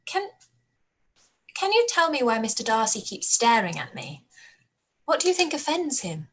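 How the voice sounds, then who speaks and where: A woman playing a witty, spirited young Regency gentlewoman in a radio play, arch and playful. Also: fairly high pitch, huge pitch swings, normal speed, husky, full character voice. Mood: polite. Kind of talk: radio play live read.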